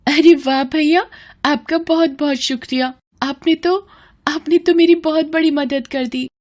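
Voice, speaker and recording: A woman's voice: high-pitched, fairly even intonation, a little slow, voice pushed up. A woman voicing an earnest, poor little vegetable-seller girl in a story, childlike, polite and pleading. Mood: delighted, grateful. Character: earnest young poor girl selling vegetables, cheerful but tearful.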